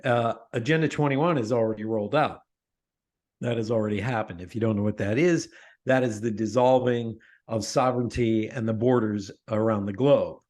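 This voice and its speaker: A middle-aged man talking as a guest in a casual interview, emphatic and animated, often restarting his sentences. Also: on the low side, normal speed. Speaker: podcast guest, author.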